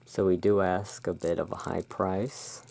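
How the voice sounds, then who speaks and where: A soft-spoken ASMR performer playing the courteous owner of a gothic curiosity emporium, speaking in a hushed, deliberate way. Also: low-pitched, flat delivery, vocal fry. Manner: slow, deliberate, hushed sales patter. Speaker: roleplaying shop owner, ASMR host.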